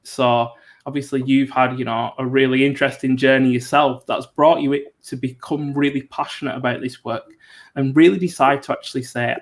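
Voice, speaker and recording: A male host asks long, winding questions, dotting them with filler phrases. His pitch sits in the middle and barely moves, giving an even, level delivery. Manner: rambling, many "you know" fillers. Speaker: show host. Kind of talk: interview questions.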